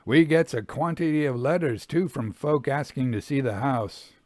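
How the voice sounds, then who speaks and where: A man's voice: mid-range voice, normal speed, darkish tone, dry recording, clean studio sound, natural voice. A man voicing a plain-spoken, hard-working country farmer in a storybook, friendly and matter-of-fact, with rustic grammar. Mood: obliging, chatty.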